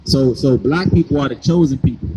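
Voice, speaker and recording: A man's voice: mid-range voice, a little fast, noisy background. A man preaching on the street, pressing his points with repeated questions. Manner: insistent, hammers points with repeated "right?".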